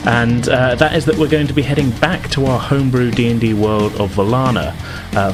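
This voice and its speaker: A man hosting a tabletop gaming podcast as game master, talking in an informal, conversational way. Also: lowish voice. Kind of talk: casual podcast intro, recap and game narration.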